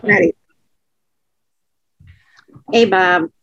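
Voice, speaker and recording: A woman co-hosting a podcast, chatting frankly and laughing often, her voice low from allergies. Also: extremely slow, fairly bright tone, slightly rough, dry recording, highs cut off. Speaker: podcast co-host. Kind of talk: casual conversational podcast.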